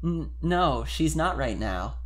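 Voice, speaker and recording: A man's voice: a little high, big pitch swings, slow pace, pitched above the performer's own voice. A man voicing a flustered, lovestruck anime girl who stammers nervously in a fan fiction reading. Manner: stammering, hesitant.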